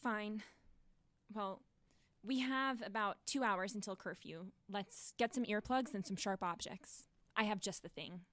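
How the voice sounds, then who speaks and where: A woman's voice: mid-range voice, a little slow, fairly bright tone, no echo, natural voice. A young woman playing a skeptical, protective teenage girl in an audio drama, blunt and wary. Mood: reluctant, protective.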